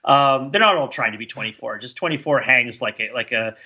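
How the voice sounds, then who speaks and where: A man co-hosting a podcast, talking casually and thinking aloud in halting, animated bursts. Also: medium pitch, slightly rough, lo-fi sound. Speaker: podcast co-host. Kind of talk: casual discussion of TV and film.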